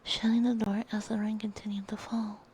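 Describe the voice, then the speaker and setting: A woman gently narrating a scripted ASMR story, breathy and often whispered, close to a binaural microphone. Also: mid-range voice, level tone, brisk. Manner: gentle, soft-spoken. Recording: faint background noise.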